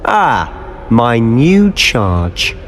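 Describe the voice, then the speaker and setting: Charming British teenage wizard for a game: self-assured, mock-formal, lively mid-pitched voice, ambience behind. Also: male voice, extremely slow, a little hiss or hum, exaggerated voice. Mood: playful, jaunty. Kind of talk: video game voice acting.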